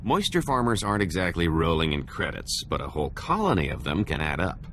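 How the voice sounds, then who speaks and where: A man's voice: sits low, sing-song, fairly quick, a bit bright, slight background noise. A man playing a sly, greedy con artist in a video game, with a glib, smug, scheming way of talking. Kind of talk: video game cutscene acting.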